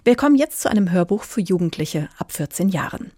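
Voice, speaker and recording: A woman's voice: fairly low pitch, animated, fairly quick, warm tone, no room sound, studio quality. A woman presenting an audiobook review programme as host, in a scripted style. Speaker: radio host.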